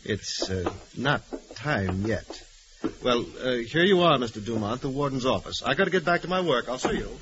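An actor playing a nervous, guarded convict in 1940s radio style, on a noisy, muffled recording. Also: male voice, mid-range voice, lively intonation, normal speed, close to an everyday voice. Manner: hesitant, guarded. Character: secretive, nervous, grateful male convict sculptor.

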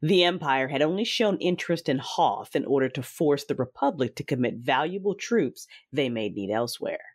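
An actor playing a cruel, vengeful Sith lord, narrating an audio drama in a deliberate, commanding, menacing way with a husky voice. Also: medium pitch, expressive. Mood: cold gloating. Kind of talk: first-person audio drama narration with dialogue. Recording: dramatic music behind the voice. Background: music.

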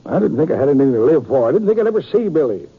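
An actor playing an aging, remorseful outlaw father in a 1950s radio western, speaking in a halting, heavy-hearted way. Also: on the high side, sing-song, husky, narrow, tinny audio, full character voice. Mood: bitter confession. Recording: noisy, band-limited 1950s radio recording.

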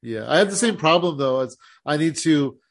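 A man's voice: a little high, expressive, fairly quick. A man hosting a casual interview, a comedian himself, who talks in an easygoing way and says he has a slight lisp. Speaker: podcast host and comedian.

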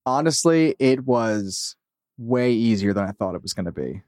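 A man's voice: mid-range voice, lively intonation, normal speed, darkish tone, dry recording. A man being interviewed as a podcast creator, talking casually and self-deprecatingly, often quoting people as he goes. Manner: informal, self-deprecating, quotes people as he talks.

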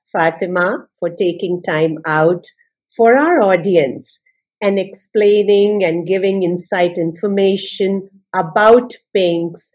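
Woman, podcast host, slow, measured, polite. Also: medium pitch, darkish tone.

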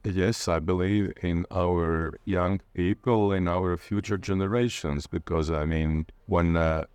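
A Latvian man with a low voice answers in an interview. He speaks conversationally in accented English, hesitating and restarting as he looks for words. The sound is dry, with little room in it. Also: fairly even intonation, unhurried, a little mellow, clear, resonant tone, no room sound. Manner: hesitant, searching for words with repeated starts. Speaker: interviewee, literature lecturer.

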